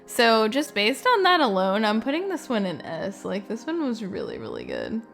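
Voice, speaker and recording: A woman's voice: mid-range voice, huge pitch swings, normal speed, vocal fry, a bit bright, soft background music. A woman giving casual, informal reaction commentary as a gaming creator, thinking aloud in a hesitant way. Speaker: video game streamer and reviewer.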